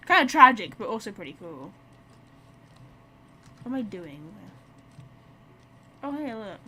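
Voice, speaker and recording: A woman's voice: fairly high pitch, sing-song, a little slow, noisy background. A woman game streamer giving casual, playful commentary, chatting and thinking aloud as she plays. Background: video game sounds.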